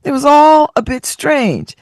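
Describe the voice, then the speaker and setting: A woman hosts a radio talk show, reading listener stories aloud and breaking into laughter and sassy remarks between them. Her pitch swings widely as she reads and comments. The sound is dry and close, with no room around it. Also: unhurried, no echo. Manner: laughs often, animated and sassy asides.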